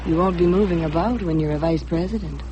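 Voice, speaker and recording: A woman's voice: fairly low pitch, quick pace, highs cut off, natural voice. An actress playing a poised, candid young rich woman in a radio drama, speaking directly with cool composure. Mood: composed, candid. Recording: noisy vintage radio drama recording.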